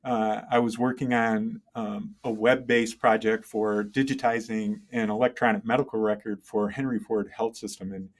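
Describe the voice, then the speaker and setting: A middle-aged man speaking as an interview guest, conversational and halting, thinking aloud and often checking in with the listener. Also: lowish voice, steady tone. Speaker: interview guest, tech entrepreneur and engineer. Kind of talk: conversational interview, telling career story.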